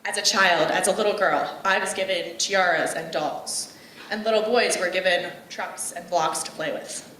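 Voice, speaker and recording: A young woman delivers a personal talk with a bright, forceful voice, direct and outgoing. She is picked up from some distance in an echoey room. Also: on the low side, moderate pace, slight background noise. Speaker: speaker giving a talk. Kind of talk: personal speech on gender roles.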